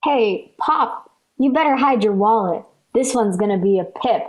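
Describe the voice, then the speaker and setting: A pert little American daughter in a 1940s radio sitcom. Her voice is very high, bratty and mischievous, on a vintage recording. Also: expressive, unhurried, a little mellow, rough recording. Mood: cheeky. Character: cheeky, bratty little girl, youngest daughter.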